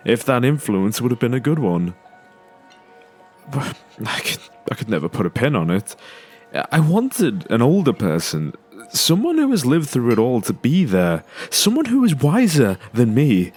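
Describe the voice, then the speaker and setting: A man's voice: mid-range voice, darkish tone, breathy edge. An actor playing an earnest, self-doubting father with a hesitant, stammering way of talking in an audio drama. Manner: hesitant, stammering, repeats words. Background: music.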